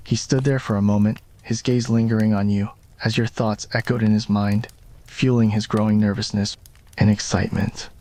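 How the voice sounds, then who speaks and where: A man's voice: fairly low pitch, darkish tone. A man reading scripted narration for a romantic ASMR roleplay story.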